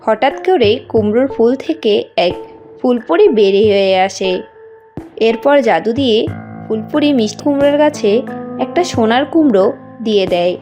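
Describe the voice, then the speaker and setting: A woman's voice: a little high, animated, moderate pace, warm tone, faint music behind. A woman narrator reading a scripted cartoon story.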